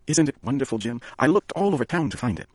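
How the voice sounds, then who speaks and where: A man's voice: medium pitch, expressive, fast, gravelly, husky, no echo, pitched above the performer's own voice. A man voicing a loving, anxious young wife in a story reading, in a raised pleading voice. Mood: eager.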